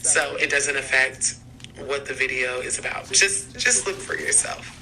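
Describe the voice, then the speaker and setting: A man's voice: medium pitch, a little slow, pushed and bright, a little hiss or hum, poor audio. A man hosting a video of paranormal clips, giving casual commentary to viewers.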